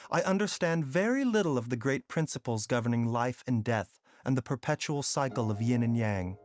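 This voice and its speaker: Man as polite learned physician, measured formal speech, lively intonation, mid-pitched, video game drama. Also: lightly acted. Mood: humble, earnest. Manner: measured, formal, softly erudite. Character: composed, courteous, quietly devoted male doctor. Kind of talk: anime video game voice acting.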